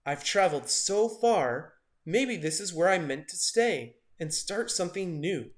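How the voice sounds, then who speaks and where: A man's voice: high voice, lively intonation, a little slow. A man voicing a small, earnest young avocado adventurer full of wonder and determination in a children's story.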